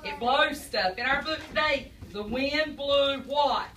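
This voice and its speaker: A woman's voice: high-pitched, a little slow, a bit bright, a little hiss or hum. A woman teacher, quizzing and praising a class of young children after reading aloud. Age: adult. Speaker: preschool teacher. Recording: echoey classroom from far off.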